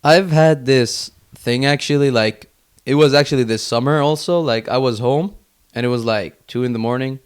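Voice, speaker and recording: A man's voice: mid-range voice, moderate pace, smooth. A man from Finland chatting casually as an interview guest, in informal speech peppered with "like". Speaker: podcast guest, young entrepreneur.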